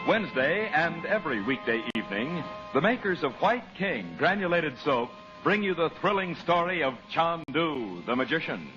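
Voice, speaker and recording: A man with an American accent announcing and narrating a 1940s radio serial in a theatrical, deliberate scripted style. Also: fairly high pitch, very animated. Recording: muffled 1940s radio broadcast. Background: music.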